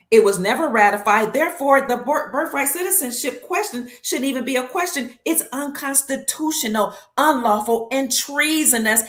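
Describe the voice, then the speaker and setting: A woman hosting her own show, delivering an emphatic monologue to viewers, leaning on key words and stacking them in lists. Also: high-pitched. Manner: leans hard on key words, slows for stress. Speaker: show host. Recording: roomy echo.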